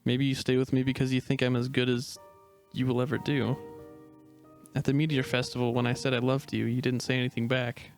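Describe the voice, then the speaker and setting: A man's voice: mid-range voice, little pitch movement, soft background music, lightly acted. A man voicing a hesitant shy young wolf. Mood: insecure. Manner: hesitant, halting, unsure. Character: awkward young male wolf, shy and self-doubting. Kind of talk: amateur dramatic reading.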